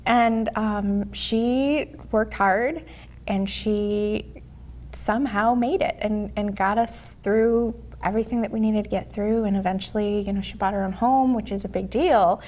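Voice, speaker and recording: A Mexican-born woman with an American accent and Spanish as her first language, telling her story in a halting, reflective way as an interview guest. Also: mid-range voice, steady tone, a little mellow. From born in Mexico City, raised in the United States. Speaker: interview guest, TV show host.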